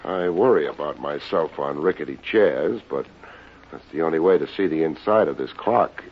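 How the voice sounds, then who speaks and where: An actor playing a wisecracking, unsentimental private investigator in an old radio detective drama. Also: male voice, rumbling low, animated, moderate pace. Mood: blunt. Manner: wry, hard-boiled, slangy. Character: wisecracking, unsentimental male private investigator narrating his case. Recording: dull, noisy old radio recording.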